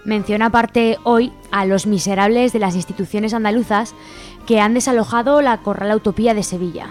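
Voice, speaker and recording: A woman's voice: mid-range voice, fairly even intonation, a little fast, a little mellow. Female co-host, Spain accent, mid-range voice, scripted editorial delivery over an audible music bed. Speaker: radio show co-host. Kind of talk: scripted political editorial read in alternation.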